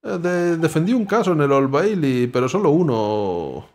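A man's voice: fairly high pitch, very animated, normal speed, darkish tone, a little hiss or hum. A man reading as an unsure young lawyer. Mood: hesitant. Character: young male stand-in lawyer, unsure but polite.

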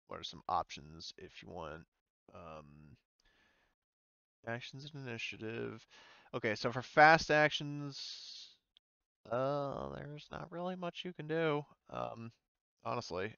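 Smooth-voiced man running a tabletop game. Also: medium pitch, animated, takes it slowly, fairly bright tone, no room sound, dull audio. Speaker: game master. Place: indoors on an online voice call.

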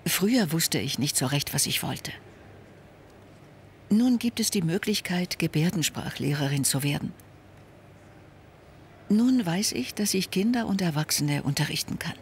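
A man reading a calm, measured first-person voice-over that translates signed speech in an interview. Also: fairly high pitch, slight background noise.

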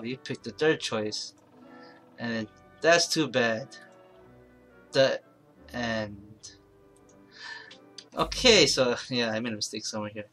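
A man presenting an informal software tutorial, thinking aloud in a halting, rambling way and correcting himself as he goes. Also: mid-range voice. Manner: halting, rambling, self-correcting, mumbled asides. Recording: clear background noise, keyboard and mouse clicks.

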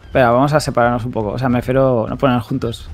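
A man's voice: medium pitch, little pitch movement, very fast, soft background music. A man in his twenties with a Spain accent, chatting casually on a close mic while streaming a game. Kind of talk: casual chat while gaming. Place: indoor gaming setup.

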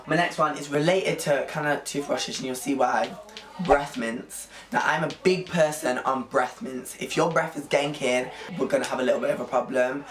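Hoarse chatty young English man. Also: mid-range voice, roomy sound. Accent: Nottingham English. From England. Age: young adult. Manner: chatty, animated, playful slang. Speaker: vlogger. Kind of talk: casual chat to camera. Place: bedroom at home, indoors.

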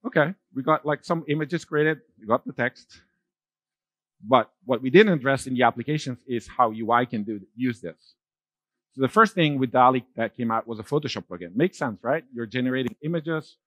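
A man's voice: medium pitch, normal speed, fairly bright tone, no echo. Man giving a casual keynote on stage. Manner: casual, loose phrasing with fillers and restarts. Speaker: conference keynote speaker. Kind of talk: keynote talk with slides. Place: large dark conference stage.

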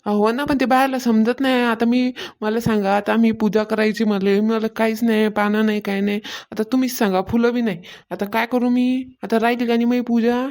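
High-voiced, grumbling village housewife played by a woman. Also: steady tone, fairly quick, darkish tone, lightly acted. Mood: aggrieved. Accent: rural Maharashtrian. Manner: complaining, repetitive, colloquial. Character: aggrieved village housewife fretting over her worship. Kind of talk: amateur cartoon comedy dialogue.

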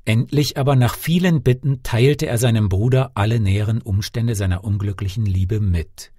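A man's voice: lowish voice, moderate pace, warm tone, slightly rough, no room sound, clean studio sound. A man reading a fairy tale as an audiobook narrator, theatrical and carefully articulated in his delivery.

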